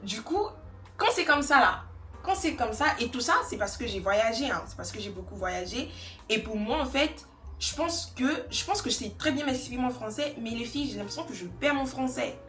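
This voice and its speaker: A woman's voice: on the high side, animated, moderate pace, a bit bright, echoey room, soft background music. A young woman from Côte d'Ivoire chatting casually while doing her makeup, rambling and laughing often. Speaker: beauty vlogger. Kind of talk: casual get-ready-with-me chat.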